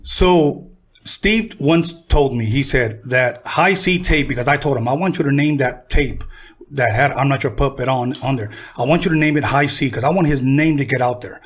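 A husky-voiced man, interviewing and sharing anecdotes in casual chat. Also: mid-range voice, moderate pace. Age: 50s. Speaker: radio interviewer, music producer.

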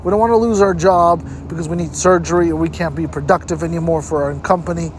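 A man with a noticeably high voice delivers motivational advice straight to his listeners. He leans on key points and repeats them for emphasis. The recording carries outdoor noise, with traffic and ambient sound around him. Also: fairly even intonation, fast talker. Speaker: host giving health tips. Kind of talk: motivational advice talk to camera.

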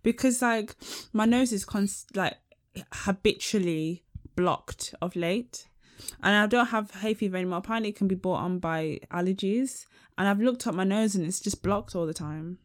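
A woman's voice: mid-range voice, moderate pace. Young London woman, slightly nasal, frank joking podcast co-host. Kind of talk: casual chat.

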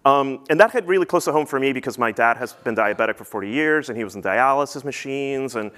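A man's voice: mid-range voice, expressive, quick pace, fairly bright tone. A man, probably in his thirties, born in Peru and raised in the US, giving a conference talk and rambling with many fillers and restarts. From born in Peru, grew up in the US. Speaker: conference speaker.

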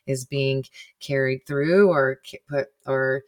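A woman's voice: very deep voice, fairly even intonation, takes it slowly, no room sound, clean studio sound. A woman hosting a podcast interview, musing aloud with lots of "like" and loosely framed questions. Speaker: podcast host. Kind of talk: casual interview chat.

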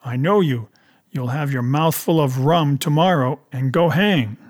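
A male narrator puts on a cunning pirate sea cook for an adventure audiobook. He is commanding and scornful, with emphatic seafaring phrasing and a slightly high male pitch. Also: unhurried. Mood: exasperated, forceful. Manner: emphatic, rustic seafaring speech.

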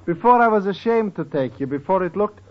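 An actor playing a penniless professor neighbor in an old radio comedy, dry and full of teasing jokes. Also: male voice, high-pitched, animated, brisk. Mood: dryly teasing. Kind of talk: 1940s-50s radio comedy acting. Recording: muffled old radio recording, faint hiss.

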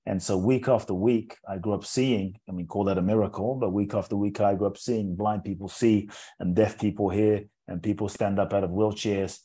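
A man in his forties who grew up in England, a speaker telling his life story as an interview guest in an earnest, measured way, often repeating words as he builds a thought. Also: on the low side, steady tone, dark tone, breathy edge. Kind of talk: reflective personal storytelling in an interview.

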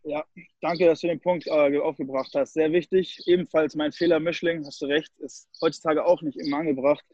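A man's voice: fairly high pitch, steady tone, fairly quick, a little mellow, no room sound, dull audio. A young man from Germany, a guest in a casual interview, who slips English phrases into his German. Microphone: call recording.

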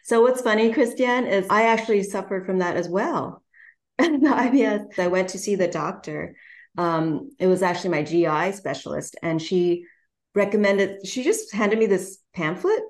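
A woman's voice: medium pitch, lively intonation, moderate pace. A woman hosting a casual interview, chatty and upbeat, asking questions and sharing her own stories. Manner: chatty and upbeat, laughs easily. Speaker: host.

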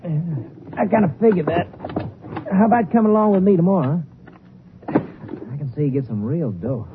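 An American actor playing an eager small-time crook in a 1950s radio drama, with slangy, uneducated, insistent speech. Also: male voice, high voice, lively intonation, fairly quick. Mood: conspiratorial. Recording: tinny, noisy 1950s radio recording.

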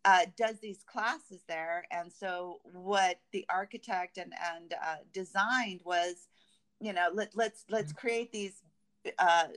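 A woman speaking as an interview guest about company work, conversational and enthusiastic, often halting as she searches for words. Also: fairly low pitch, fairly bright tone. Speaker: guest, construction company representative.

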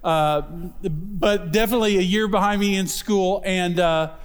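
A man's voice: sits high, steady tone, moderate pace, clean tone. A male pastor enthusiastically introducing a guest speaker to his church. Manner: enthusiastic, warm praise of the guest. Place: church hall. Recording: long hall reverb.

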